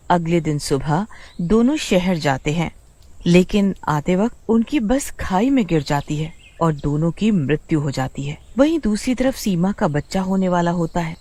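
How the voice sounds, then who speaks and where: A woman's voice: low-pitched, dark tone. A woman with an Indian accent reading scripted, dramatic story narration.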